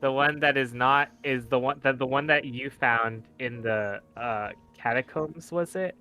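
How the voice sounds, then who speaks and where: A man's voice: mid-range voice, measured pace, pushed and bright. A man playing a tabletop game, telling a casual, rambling recap in an informal way.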